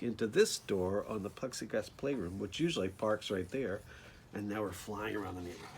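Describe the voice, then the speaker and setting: A man reads a storybook aloud for children with very lively, swooping intonation. He often drops into casual asides and speaks directly to his young listeners. He is outdoors, with light background noise behind him. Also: medium pitch. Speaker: children's author reading own picture book aloud.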